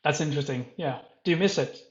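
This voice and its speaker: A man moderating a panel, introducing the guests in a halting, self-correcting and apologetic way. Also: on the high side, roomy sound, highs cut off.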